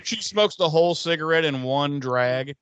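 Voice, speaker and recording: A man's voice: on the high side, normal speed, a bit bright, clean tone, no echo. A man co-hosting a podcast, recapping an episode with crude, sarcastic humor and swearing. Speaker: podcast co-host. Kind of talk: casual episode recap chat.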